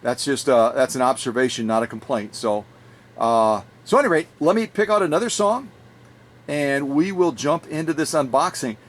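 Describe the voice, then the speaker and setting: A man's voice: mid-range voice, lively intonation, normal speed. A middle-aged man hosting a casual vlog from his home workshop, chatting with viewers in a rambling way, often repeating and restarting phrases. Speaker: vintage sewing machine restorer and channel host.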